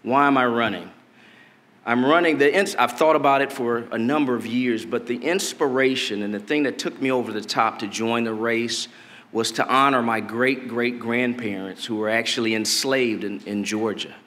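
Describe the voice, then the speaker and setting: A middle-aged man from Detroit, a political candidate delivering a campaign speech at a podium in a convention hall, deliberate and measured with emphatic rises. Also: mid-range voice, fairly bright tone. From Detroit, Michigan. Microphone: podium mic. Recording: long hall reverb.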